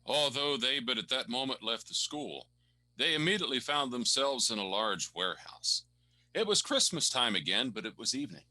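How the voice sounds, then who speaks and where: A man's voice: mid-range voice, animated, normal speed, bright, forceful tone, poor audio. A man narrating a radio play with dramatic, scripted storytelling.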